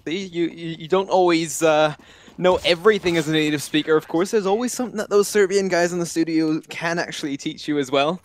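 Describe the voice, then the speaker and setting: Man, esports caster, fairly high voice, chatty, thinks aloud. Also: a little fast. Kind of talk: live match commentary.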